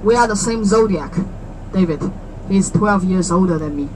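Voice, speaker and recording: A woman's voice: lowish voice, normal speed, a little mellow, rough recording. Chatty Chinese-accented woman guide, street traffic. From China. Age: 30s. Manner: chatty and explanatory, asks listeners questions, jokes. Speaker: tour guide. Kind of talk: explaining to visitors on a walking tour. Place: outdoors on a city street.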